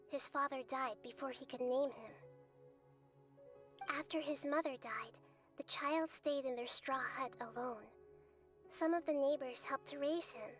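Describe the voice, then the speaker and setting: A woman playing a gentle, solemn storyteller in a video game, narrating in a measured, tender way. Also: high-pitched, distant mic. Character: gentle, solemn female storyteller recounting a sad past. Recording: music behind the voice.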